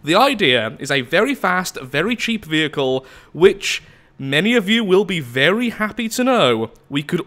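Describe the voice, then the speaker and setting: A man hosting casual gameplay commentary while sick with the flu and losing his voice, speaking in a lively, upbeat way. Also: on the high side. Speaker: gaming channel host.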